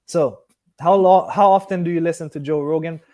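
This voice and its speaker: Man, early thirties, from Haiti, slightly high voice, live stream host, casual, rambling, conversational. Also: steady tone, fairly quick, warm tone. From Haiti, moved to Miami at fourteen. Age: early 30s. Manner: rambling, loses and regains his thread. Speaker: vlogger hosting a live stream. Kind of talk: casual chat, answering live comments.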